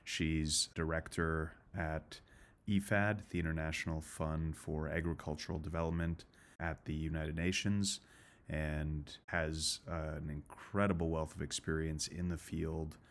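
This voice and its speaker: Very deep-voiced male podcast host. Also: level tone, a little slow. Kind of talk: scripted intro then live chat hosting.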